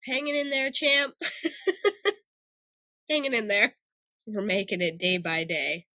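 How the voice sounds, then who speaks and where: A woman's voice: medium pitch, slow pace, sharp tone, dry recording, clean studio sound. A young woman co-hosting a podcast, bantering playfully and giggling a lot. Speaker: podcast co-host. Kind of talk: casual chat.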